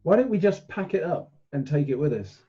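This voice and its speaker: A man's voice: a little high, very animated, a little fast, dark tone, husky. A man talking casually as a tabletop game player, decisive and matter-of-fact, on a dull microphone.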